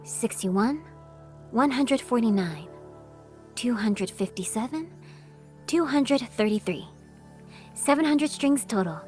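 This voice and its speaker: A young, stoic female leader from a game, with a slightly high voice. She is literal-minded and terse, precise in every word, yet her pitch moves a good deal, over clear background music. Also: expressive, slow pace, long pauses, mellow. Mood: precise, unfazed. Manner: terse, matter-of-fact, reciting figures precisely. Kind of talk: dramatic video game voice acting.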